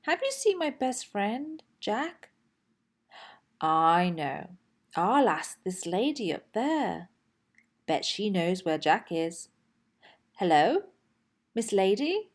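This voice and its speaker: A woman voicing an excitable, anxious and boastful toy dinosaur in a children's storybook, with a childlike, dramatic delivery. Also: mid-range voice, full character voice. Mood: pleading. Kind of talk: children's storybook read-aloud.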